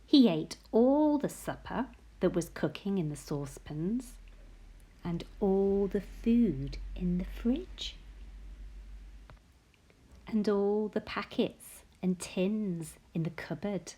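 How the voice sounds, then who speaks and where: A woman reading a picture book aloud to young children, expressive and often putting questions straight to her listeners. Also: medium pitch, slow, breathy edge.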